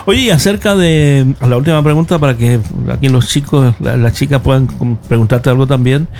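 A man with a medium-pitched voice hosts an interview in a conversational style. Faint background noise and ambience sit behind him. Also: fairly quick, a little mellow. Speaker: interview host.

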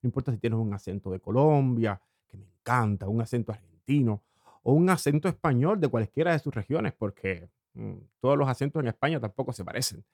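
A man from Cuba, hosting a morning radio program in a slow, lingering monologue with hesitant fillers. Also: medium pitch, expressive, a little mellow, dry recording, studio quality. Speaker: radio host.

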